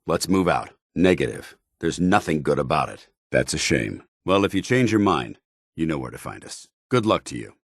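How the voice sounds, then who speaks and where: A stern video game paladin, with a very low, husky voice that moves expressively. He is zealous and loyal, speaking with clipped military formality in a close, dry recording. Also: big pitch swings, normal speed, dry recording, full character voice. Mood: firm, businesslike. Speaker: companion soldier character.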